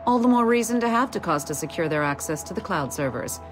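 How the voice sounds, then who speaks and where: Game boss figure, played by a woman: commanding corporate chief, slightly low voice, formal and clipped, scored with music. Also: animated, fast talker, natural voice. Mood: cool authority. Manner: curt, authoritative, formal. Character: commanding, cool female corporate Templar superior, unyielding. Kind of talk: scripted video game dialogue. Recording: music behind.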